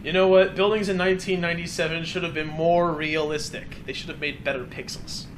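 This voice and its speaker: A man giving casual, animated commentary as he plays a video game with a friend, joking and slipping into mock voices. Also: a little high, fairly quick, background noise. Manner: animated, joking, breaks into mock voices and sung sound effects. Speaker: let's play host and player. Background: video game music.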